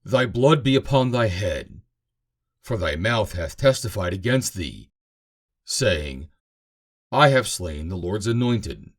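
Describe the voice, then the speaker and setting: A man's voice: fairly low pitch, very animated, slow, heavily acted. A man voicing a stern, emphatic ancient Hebrew king in a scripture reading. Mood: stern condemning.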